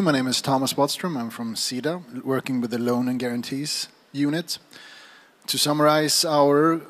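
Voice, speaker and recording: A man giving an impromptu summary to a room, measured and halting, often restarting his phrases. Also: medium pitch, a bit bright. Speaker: government agency staffer. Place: live event room.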